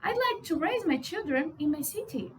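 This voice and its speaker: A young Brazilian woman speaks English with a Portuguese accent in a high, bright register. She talks straight to camera in a loose, outspoken way, swearing for emphasis and tagging her sentences with "you know". A faint hum runs under her voice. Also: animated, moderate pace. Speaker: vlogger. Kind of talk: casual monologue to camera.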